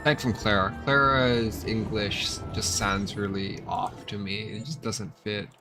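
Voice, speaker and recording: A man with a lowish voice comments casually on a game as he plays. He is a laid-back, slangy streamer. Music is clearly audible behind him. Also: steady tone, measured pace. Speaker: gaming streamer.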